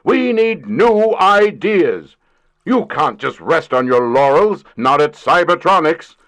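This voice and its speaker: Blustering, slow-paced, overbearing boss. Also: male voice, a little high, sing-song, fairly bright tone, exaggerated voice. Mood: indignant, demanding. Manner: pompous, emphatic, deliberate. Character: blustering, overbearing, middle-aged male company boss. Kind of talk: comic audio drama acting.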